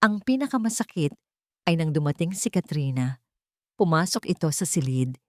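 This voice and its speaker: Low-pitched woman narrating a dramatic story. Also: animated, moderate pace, darkish tone, no room sound, clean studio sound.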